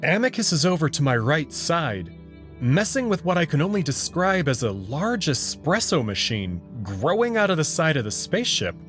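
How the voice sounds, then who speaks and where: A man reading expressive first-person narration for a visual novel story. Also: fairly high pitch, moderate pace. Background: background music.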